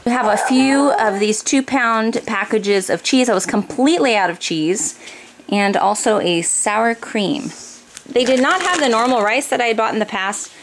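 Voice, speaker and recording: A woman's voice: a little high, normal speed. Chatty American woman, noisy home recording. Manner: chatty, informal, explaining item by item. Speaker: family vlogger, mother. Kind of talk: casual grocery haul narration. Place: indoors in a home kitchen or dining room. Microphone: handheld camera close by.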